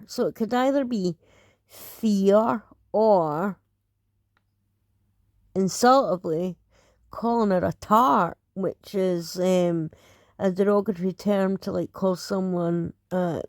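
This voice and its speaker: Slow-talking Scottish woman from Edinburgh. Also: mid-range voice, no echo, clean studio sound. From Scotland. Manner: rambling, many fillers and restarts. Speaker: YouTuber reacting to videos. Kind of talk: casual reaction commentary.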